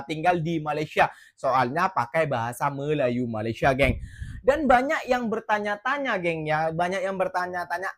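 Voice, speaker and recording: A man's voice: high voice, fairly bright tone. Chatty man hosting a reaction vlog. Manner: chatty, keeps tagging "geng", religious greetings. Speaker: reaction video host. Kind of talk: casual reaction vlog talk.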